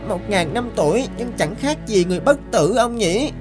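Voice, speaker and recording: An actress playing a small young earthworm, curious and sympathetic, in a cartoon. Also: female voice, mid-range voice, animated, normal speed, over music, close to an everyday voice. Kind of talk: scripted cartoon dialogue.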